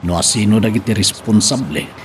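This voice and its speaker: A man's voice: on the low side, lively intonation, a little fast, lightly acted. A man acting an earnest grown son in an Ilocano radio drama, speaking with a Filipino accent. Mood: grave, worried. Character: earnest grown son reporting to his mother. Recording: clear ambient noise behind.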